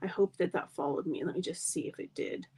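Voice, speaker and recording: A woman's voice: lowish voice, fairly quick, mellow, husky. A middle-aged woman demonstrating card making in a live tutorial, chatty and friendly, with a slightly froggy voice. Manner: chatty, friendly asides to viewers.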